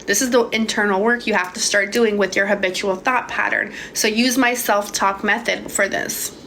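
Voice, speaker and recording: A woman's voice: mid-range voice, steady tone, moderate pace, creaky, sharp tone, a little hiss or hum. A young woman from Hungary answering viewers' questions about manifesting in a casual, swearing livestream chat. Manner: casual, swears freely, calls viewers babe. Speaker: manifestation coach. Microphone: phone.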